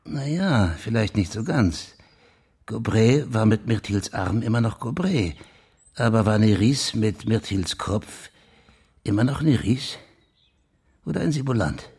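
An actor playing a police official in a German crime radio drama, insistently laying out his theory with a husky, gravelly voice. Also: fairly low pitch, lively intonation, measured pace, darkish tone, exaggerated voice. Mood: intent, explanatory.

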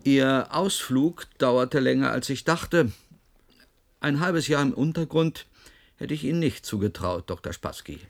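An actor playing a cold, sardonic professor in power in a German radio drama, measured and condescending, with a gravelly voice. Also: male voice, mid-range voice, expressive. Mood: cold, mocking.

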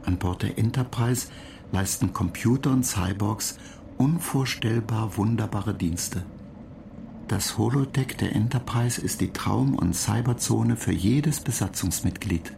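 An actor playing a courteous onboard computer in a radio drama, explaining in a measured, matter-of-fact way. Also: male voice, lowish voice, gravelly, distant mic, background noise.

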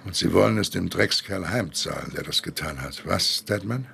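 An actor playing a stern, vengeful, paternal sheriff, grave and authoritative, in a German radio drama. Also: male voice, sits low, lively intonation, moderate pace, vocal fry, bright, forceful tone, a little hiss or hum, full character voice. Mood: grim, commanding.

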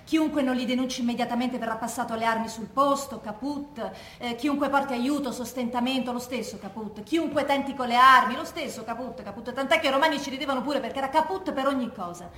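Wartime resistance woman, dry, wry, emphatic testimony, fairly high voice, roomy sound. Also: quick pace, few pauses, a bit bright. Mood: wry, matter-of-fact. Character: wry, matter-of-fact female wartime resistance partisan. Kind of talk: theatrical testimony monologue. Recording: roomy echo, faint background noise.

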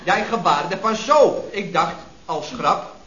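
Bright, high-voiced cheeky lad. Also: male voice, animated, takes it slowly, full character voice. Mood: defensive. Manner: insistent, colloquial, answering back. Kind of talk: 1970s Dutch radio comedy acting. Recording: dull, echoey archive radio recording.

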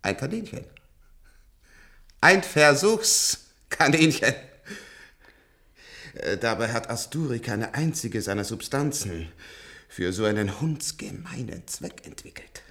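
An ancient man kept alive by transplants, sardonic and imperious. His delivery is theatrical and highly animated, sweeping up and down with bitter irony. The style is that of a science-fiction radio drama. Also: vocal fry, heavily acted. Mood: bitter, brooding. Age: older. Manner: theatrical, chuckling, ironic, drawn-out delivery. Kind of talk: German science-fiction radio play acting.

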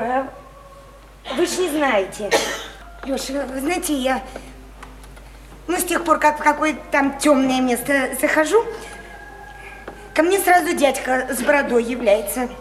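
Radio play: odd provincial girl-woman, high voice, superstitious and earnest, folksy rambling, roomy slightly noisy recording. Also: measured pace, pauses often. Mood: dreamy wonder. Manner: rambling, simple folksy speech full of hesitant fillers. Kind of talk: theatrical radio play dialogue.

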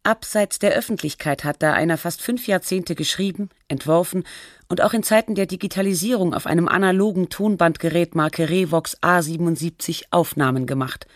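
An actress playing a sober radio feature presenter, reading scripted documentary narration in a measured, formal way. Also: female voice, fairly low pitch, no echo, studio quality, lightly acted.

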